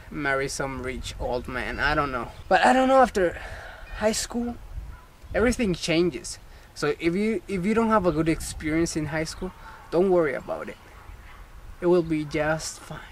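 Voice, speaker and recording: A man's voice: a little high, slight background noise. A young man turning eighteen, chatting casually and jokingly in an outdoor playground vlog, recorded on a camera mic. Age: young adult. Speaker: vlogger. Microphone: camera mic at arm's length.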